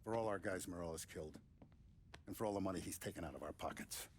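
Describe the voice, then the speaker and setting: An actor playing a calm, commanding male mob boss who gives firm, measured orders in a game cutscene. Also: low voice, a little hiss or hum, lightly acted. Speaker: crime boss.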